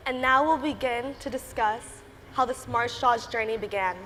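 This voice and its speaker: A woman's voice: on the high side, normal speed, bright, forceful tone, a little hiss or hum. An eighteen-year-old woman from Miami giving a friendly, slightly nervous prepared talk on a conference stage. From Miami, United States. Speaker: student entrepreneur speaker. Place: large conference hall stage. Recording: reverberant hall with faint ambience.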